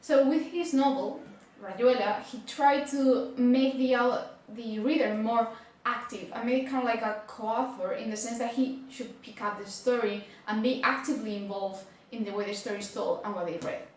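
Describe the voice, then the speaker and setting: A woman's voice: a little high, moderate pace, a little mellow. A woman explains something informally. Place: echoey indoor room. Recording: very echoey room, distant mic.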